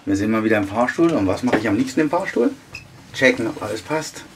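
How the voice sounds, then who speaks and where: Low-voiced man vlogging over loud noise. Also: expressive, fast talker. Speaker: fitness vlogger and bodybuilding competitor. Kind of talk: casual vlog chat to camera. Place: on the move: train, taxi, street, hotel, gym. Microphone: camera at arm's length. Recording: noisy handheld camera, loud ambient noise.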